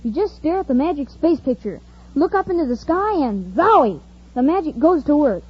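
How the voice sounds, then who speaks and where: Excited kid in old radio ad, high and swoopy, gleeful, muffled. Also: female voice, normal speed. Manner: gleeful, enthusiastic. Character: excited, peppy kid selling a toy premium. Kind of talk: 1950s radio advertisement acting.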